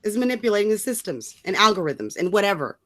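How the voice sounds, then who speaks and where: A woman's voice: mid-range voice, sing-song, fast, fairly bright tone. A woman co-host ranting casually about politics, scornful and emphatic, swearing freely. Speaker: talk show co-host.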